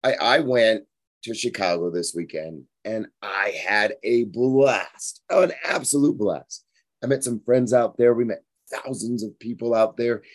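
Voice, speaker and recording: A hoarse, tired man in his forties, hosting a fitness podcast in a frank, rambling motivational monologue. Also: lowish voice, measured pace. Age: 40s. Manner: rambling, frank, repeats himself.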